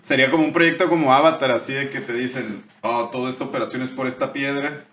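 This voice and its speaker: A man's voice: medium pitch, steady tone, fast, a bit bright, roomy sound. Slangy young northern Mexican man. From Tijuana, Mexico. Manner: slangy, explains at length, drops "güey" constantly. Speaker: podcast co-host. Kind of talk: casual chat.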